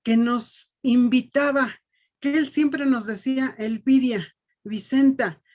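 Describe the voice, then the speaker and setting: A woman teacher telling her experience in a panel discussion, steady and earnest, from Mexico. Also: on the high side.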